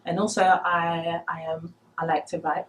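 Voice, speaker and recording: A woman in her twenties who grew up speaking Lingala in Congo talks in a fairly low voice. She is conversational and reflective, pausing and searching for words as an interview guest. There is faint noise behind her. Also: little pitch movement. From Democratic Republic of Congo. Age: 20s. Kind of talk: conversational interview, personal recollection.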